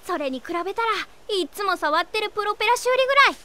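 A woman's voice: very high-pitched, expressive, fast, heavy background noise. A woman voicing an eager, earnest little boy fox sidekick in a video game. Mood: confident. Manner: eager, boyish. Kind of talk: cartoonish video game acting.

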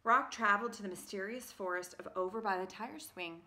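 A woman's voice: mid-range voice, brisk, bright, forceful tone, a little hiss or hum. A woman in her forties reading a picture book aloud with theatrical, emphatic expression. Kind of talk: expressive storybook narration. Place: carpeted home room with sloped ceiling. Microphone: phone at arm's length.

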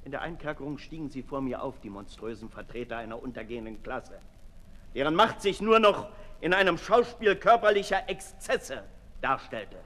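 An actor playing a brooding, disillusioned aristocrat in a 1960s German radio play, declaiming deliberately, from hushed to loud. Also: male voice, medium pitch, animated. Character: Marquis de Sade, brooding disillusioned aristocrat, intense and self-lacerating. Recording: 1960s radio recording in reverberant hall.

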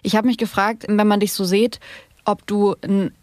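A woman hosts an interview podcast. She puts her questions informally and conversationally. Her voice is mid-pitched, with hardly any room sound around it. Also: flat delivery, moderate pace, no echo, clean studio sound.

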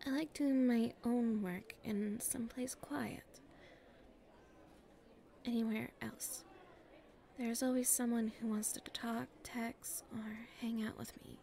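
Slow, whispery nervous popular girl, acted by a woman. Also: fairly high pitch, slight background noise. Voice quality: soft and breathy, often near a whisper. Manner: hesitant, halting, shyly pleading. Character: nervous, shy-spoken popular schoolgirl with a secret crush. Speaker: ASMR roleplay performer. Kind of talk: ASMR roleplay acting.

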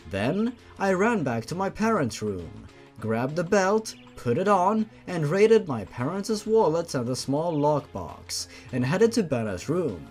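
Animated higher-pitched man reading a story aloud. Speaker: narrator. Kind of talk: dramatic reading of online horror fan fiction. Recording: music behind the voice. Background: music.

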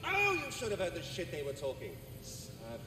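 An actor voicing a bitter, mocking, foul-mouthed squirrel spirit in a video game. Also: male voice, high-pitched, wildly swooping pitch, moderate pace, bright, forceful tone, reverberant, big-room sound, recorded from a distance, a little hiss or hum, heavily acted. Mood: sneering, hostile. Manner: sneering, foul-mouthed, taunting.

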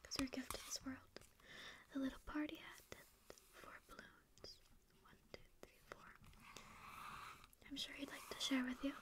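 A woman voicing a centuries-old sleep paralysis demon in a whispered ASMR roleplay, snarky and angsty at first, then softly reassuring, close to the mic. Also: high-pitched, flat delivery, unhurried. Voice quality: breathy whisper. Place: dim indoor room with string lights. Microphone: close studio mic on camera.

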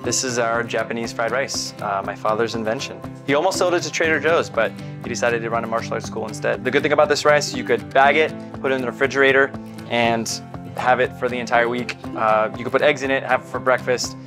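A man in his thirties hosting a home cooking demo, giving earnest step-by-step instructions with deadpan jokes, recorded on a lapel mic in a home kitchen. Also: mid-range voice, fast talker. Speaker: cooking show host. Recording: music playing underneath.

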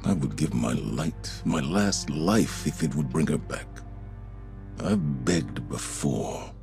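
Weary, sorrowful game commander, bottomless low register with heavy rasping creak, slow-burning solemn delivery. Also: male voice, sing-song, darkish tone, soft background music, heavily acted. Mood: grief-stricken. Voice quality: deep, gravelly. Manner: halting, solemn. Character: grieving weary old warrior commander, man.

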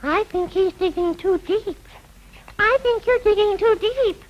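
A man's voice: very high-pitched, measured pace, highs cut off. A man voicing an earnest, plaintive little girl puppet in a children's educational show, speaking in a hesitant, childlike way. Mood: worried. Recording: old 1960s film soundtrack, music behind.

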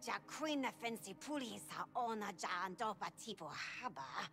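A woman's voice: mid-range voice, very animated, normal speed, a bit bright, soft background music. A woman voicing a brusque, fierce alien rebel who talks in an invented tongue in a video game. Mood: fierce, commanding. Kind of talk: video game creature voice acting.

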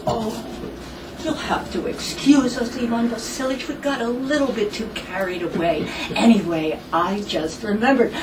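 A woman playing an excitable, quarrelsome grown daughter in a farcical stage comedy, with histrionic, emphatic delivery. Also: on the high side, moderate pace, close to an everyday voice. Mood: coaxing. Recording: echoey room, distant mic, clear noise.

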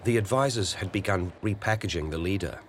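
A man narrating a political documentary in a measured, matter-of-fact way. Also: sits low, a bit bright, a little hiss or hum.

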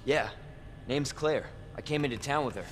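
An actor playing an earnest, concerned young rookie police officer in a video game cutscene. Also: male voice, medium pitch, normal speed, lightly acted. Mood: relieved. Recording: clear background noise, game ambience.

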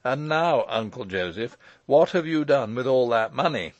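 A man voicing a brisk, teasing gentleman lawyer in an audiobook story, talking in an eager, emphatic, breezy way. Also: medium pitch, animated, no echo, highs cut off, pitched above the performer's own voice, natural voice. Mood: brisk, decisive.

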